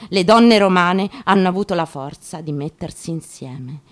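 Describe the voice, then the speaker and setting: A woman giving a deliberate, declamatory dramatic reading of a first-person memoir, in short phrases with pauses. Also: on the low side, expressive. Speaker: actress giving a staged reading. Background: acoustic guitar playing.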